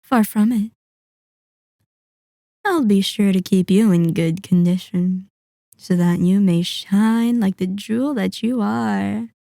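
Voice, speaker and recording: A woman voicing a centuries-old, sadistic and possessive vampire in an intimate ASMR roleplay, speaking in a deliberate, taunting, commanding manner. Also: mid-range voice, creaky, dark tone, no room sound, studio quality. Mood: coaxing, seductive. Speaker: audio roleplay performer.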